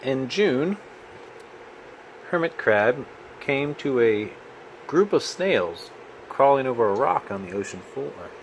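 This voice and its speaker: A man reading a storybook aloud to a child in an expressive, patient manner. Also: mid-range voice, slow pace, pauses often, a little hiss or hum, rough recording. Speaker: children's book reader.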